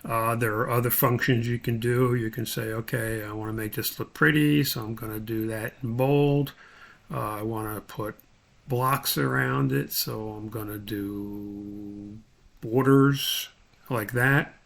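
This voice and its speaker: A man's voice: mid-range voice, fairly even intonation, unhurried, vocal fry, fairly bright tone. A man giving an informal screen tutorial as an instructor, thinking aloud step by step as he demonstrates.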